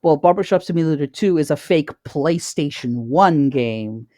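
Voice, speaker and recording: A man co-hosting a podcast, chatting informally and haltingly, often repeating words as he goes. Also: fairly high pitch, expressive, mellow, no echo, studio quality. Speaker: podcast co-host. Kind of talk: casual chat.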